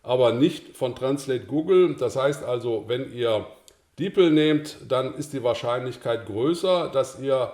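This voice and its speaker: An older German-speaking man talks through a screencast lesson at his desk, calm and explanatory, in a mid-range voice. The small room around his webcam adds a noticeable echo. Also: mid-range voice, measured pace. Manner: calm, explanatory, with occasional filler sounds. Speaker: course instructor. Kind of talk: screencast tutorial.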